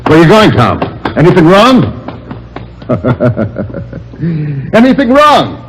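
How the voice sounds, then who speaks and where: An actor playing a falsely jovial, taunting older office rival in old-time radio drama, with insinuating delivery. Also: male voice, a little high, huge pitch swings, slow pace, background noise, exaggerated voice. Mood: menacing taunts. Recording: old radio recording with music.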